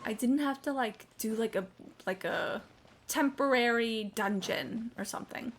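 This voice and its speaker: High-voiced, sarcastic woman streamer. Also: unhurried, a little hiss or hum. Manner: sarcastic, sweary. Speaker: live streamer. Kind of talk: casual game stream commentary.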